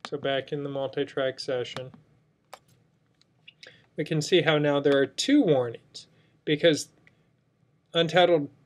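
Higher-pitched man giving a software tutorial. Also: steady tone, measured pace, long pauses. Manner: explanatory, step-by-step. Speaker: software instructor. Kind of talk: screen tutorial narration, unscripted. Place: indoors, screen recording voice-over.

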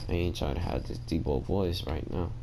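A tired young man with a gravelly voice, chatting casually to his viewers in laid-back slang while commenting on his gameplay. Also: deep voice, normal speed, background noise. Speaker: gaming channel host. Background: game music.

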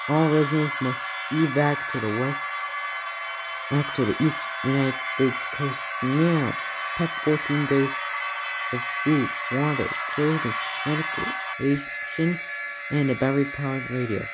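Male amateur alert announcer, medium pitch, formal bulletin wording with a stumbling, self-correcting delivery. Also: fairly even intonation, a little slow, lo-fi sound, heavy background noise. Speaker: narrator of fictional emergency alerts. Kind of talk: improvised mock emergency broadcast announcement.

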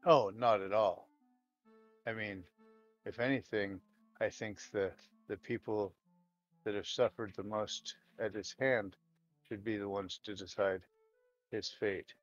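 Man playing a slow, low-voiced dwarf warrior. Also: steady tone, no echo, close to an everyday voice. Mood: earnest. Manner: rambling, halting, plain-spoken. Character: weary, devout, folksy dwarf warrior man. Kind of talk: improvised roleplay audio drama. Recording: dull lo-fi audio, music behind.

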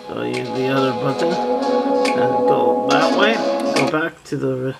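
Guy w a creaky voice slowly walking thru a product demo step by step, kinda halting. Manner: plain, halting, step-by-step narrating. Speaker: seller demonstrating a product. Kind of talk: casual hands-on product demonstration. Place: indoors, close tabletop setup. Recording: music from a boombox behind the voice. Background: loud music from the boombox.

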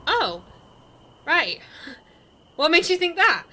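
A woman's voice: very high voice, big pitch swings, takes it slowly, pushed and bright, a little hiss or hum, full character voice. A woman with a British accent playing a sceptical, bewildered ordinary young woman in an audio drama, wry and colloquial. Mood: wary, confused. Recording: music under the voice.

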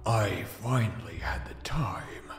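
A man voicing a sinister, gloating dark hedgehog villain in a comic dub, with a gravelly, menacing, theatrical delivery. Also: low voice, slow pace, full character voice. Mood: smug menace. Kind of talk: scripted fan comic dub. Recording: echoey voice over background music.

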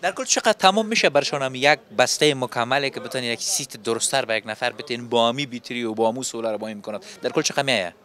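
A man's voice: medium pitch, hardly any pauses, fairly bright tone. A young man with an Afghan Dari accent, reporting and interviewing on location for television with a handheld microphone. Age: young adult. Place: open-fronted electronics shop on a market street.

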